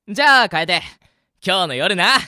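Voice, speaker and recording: A man playing an upbeat, excitable high school boy in an amateur radio drama, talking in playful, casual slang. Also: a little slow, clear, resonant tone, no room sound, full character voice.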